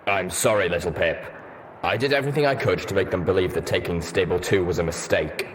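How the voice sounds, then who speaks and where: Man as grim male ghoul pony soldier, guarded, stern, deep, long echoing reverb, faint noise. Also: a little fast, natural voice. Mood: regretful.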